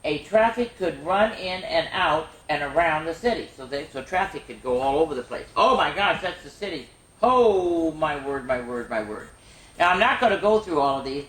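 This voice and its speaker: A woman hosting a children's story time, reading picture books aloud in an animated way with chatty, exclaiming asides. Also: deep voice. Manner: animated, repeats phrases for emphasis, exclaims. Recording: roomy, echoing room sound.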